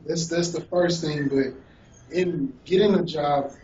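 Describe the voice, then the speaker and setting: A man in his twenties, a law graduate, speaking in a measured way in an interview. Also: a little high, darkish tone, roomy sound, far from the mic, dull audio, a little hiss or hum. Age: 20s. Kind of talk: casual interview.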